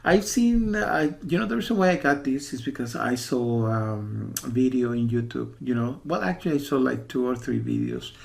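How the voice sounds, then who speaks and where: A man's voice: medium pitch, moderate pace, gravelly, hardly any pauses. A man from the USA giving a casual spoken review as a warning to viewers, rambling and insistent, often repeating himself. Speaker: software reviewer.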